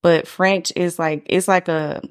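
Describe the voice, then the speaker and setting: A woman's voice: on the low side, fairly even intonation, a little slow, clean tone. A woman, hosting a casual podcast chat, talking loosely with lots of fillers. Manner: chatty, lots of "like" fillers, laughs easily. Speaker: podcast host.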